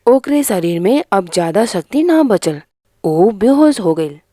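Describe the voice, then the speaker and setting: Lively woman narrating a fairy tale. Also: mid-range voice, unhurried, no echo, clean studio sound.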